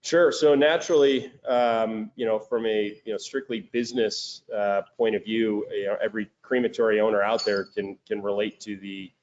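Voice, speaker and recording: A man with a thick Chicago accent and a somewhat low voice talks casually as an interview guest over a video call. He speaks in a relaxed way, with lots of fillers and false starts. The call audio is dull and lo-fi. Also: normal speed, hardly any pauses, slight background noise. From Chicago, United States. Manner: relaxed, many fillers and restarts. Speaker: guest, crematory and metal recycling business owner.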